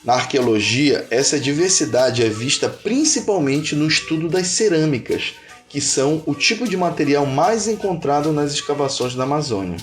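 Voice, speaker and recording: Male narrator, Brazilian accent, slightly high voice, measured explainer delivery over music. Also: fairly bright tone. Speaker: narrator of an educational cartoon. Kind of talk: scripted educational narration.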